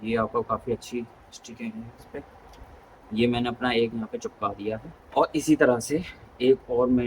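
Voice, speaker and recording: A man giving a casual hands-on tutorial as a vlogger, informally thinking aloud step by step. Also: mid-range voice. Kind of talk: casual hands-on tutorial chat in Hindi mixed with English words. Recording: clearly noisy background ambience.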